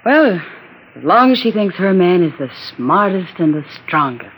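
A woman's voice: fairly low pitch, very animated, normal speed. An actress in an old-time radio drama playing an uneasy, evasive woman who speaks hesitantly. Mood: evasive, pensive. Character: uneasy evasive woman, a hard man's lover. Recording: noisy old radio recording.

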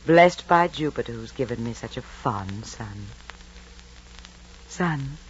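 A woman's voice: very deep voice, expressive, slow, heavily acted. An actress playing a Roman emperor's doting, indulgent mother in an old radio drama, speaking in a stately, savoring way. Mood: fond, indulgent. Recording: dull, noisy vintage radio recording.

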